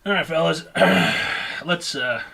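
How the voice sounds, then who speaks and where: A man hosting a live call-in advice stream, brash and crude, hoarse from losing his voice. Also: fairly high pitch, very animated, slow, pushed and bright, a little hiss or hum. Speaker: host.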